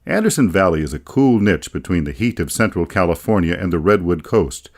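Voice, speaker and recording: A man's voice: sits low, expressive, brisk. An American man narrating a scripted radio documentary in a wry, folksy storytelling manner. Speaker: radio feature narrator and author.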